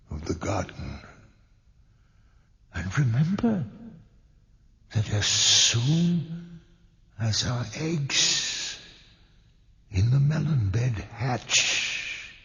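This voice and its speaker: A man's voice: deep voice, gravelly, a bit bright, big-room sound, pitched above the performer's own voice, exaggerated voice. Female cobra, ruthless schemer, insistent and cold; a man plays her. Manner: insistent, persuasive. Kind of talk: 1940s radio acting.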